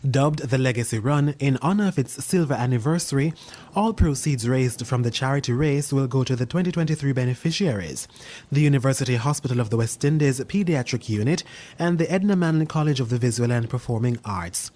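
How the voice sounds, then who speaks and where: A man presenting business news in a scripted voice-over with a crisp, measured delivery. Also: mid-range voice, a little mellow.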